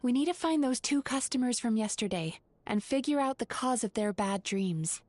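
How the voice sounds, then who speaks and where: Caring young dream-eater spirit, bit high, dry and close, measured earnest delivery. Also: female voice, expressive, darkish tone, dry recording. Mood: concerned. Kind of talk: video game voice acting.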